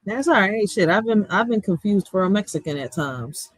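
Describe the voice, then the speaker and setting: A woman's voice: mid-range voice, moderate pace, no echo. A woman with a small, girlish voice, chatting casually in blunt slang and often raising her voice as a streamer. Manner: animated, blunt, slangy, raises voice. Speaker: streamer host. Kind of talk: casual reaction chat.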